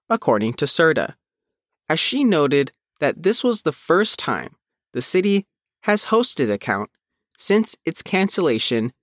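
A man's voice: a little high, dry recording, studio quality. A man reading a scripted news report as its reporter, in a narrated style. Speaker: reporter reading own written article.

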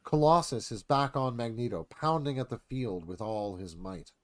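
A man's voice: medium pitch, normal speed. A man reading scripted stage directions as the narrator of a live radio theatre performance.

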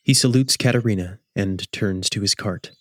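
A man hosting and narrating a radio drama as a measured, scripted storyteller. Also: on the low side, dry recording, studio quality. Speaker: host and narrator.

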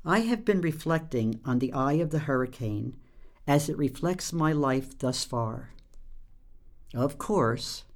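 A woman in her seventies telling her life story in a measured, reflective way. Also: low voice. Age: 70s. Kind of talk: personal storytelling.

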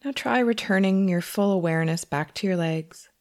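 A woman leading a guided meditation as a teacher, speaking gently and unhurriedly with long pauses. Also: medium pitch.

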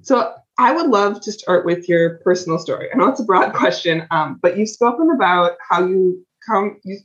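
A young woman hosts a podcast interview in a casual, hedging manner full of fillers. The audio sounds dull and lo-fi. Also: on the low side. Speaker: podcast host.

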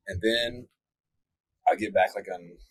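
A man's voice: on the low side, a little slow, fairly bright tone, husky, no room sound, rough recording. A young man who hosts a hunting show, chatting casually on a podcast with lots of likes and ums. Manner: laid-back, many likes and ums, faith talk.

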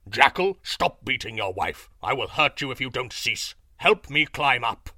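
A man voicing a big, angry male lion who barks loud, threatening orders. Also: low-pitched, a little slow, no room sound, studio quality, pitched below the performer's own voice, heavily acted. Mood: commanding.

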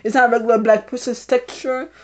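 A woman's voice: on the high side, little pitch movement, fast talker. Rambling woman from Haiti, British-sounding accent. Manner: rambling, repeats herself, laughs often. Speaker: podcast host. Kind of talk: casual solo podcast monologue.

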